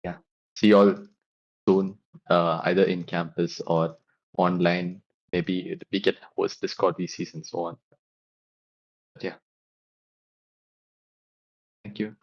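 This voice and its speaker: A man's voice: low voice, little pitch movement, slow pace, pauses often, highs cut off. A young man closing an online club meeting, rambling and thankful with many fillers, over a video call. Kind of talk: casual closing remarks.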